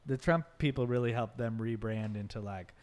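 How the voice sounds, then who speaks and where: A man co-hosting a podcast in casual conversation, talking loosely and haltingly as he thinks aloud. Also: mid-range voice, darkish tone. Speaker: podcast co-host.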